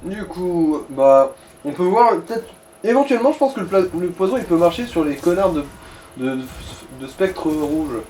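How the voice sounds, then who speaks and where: A man's voice: high-pitched, lively intonation, moderate pace, mellow, a little hiss or hum. A man giving casual, crude advice and commentary alongside someone playing a game. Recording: roomy echo.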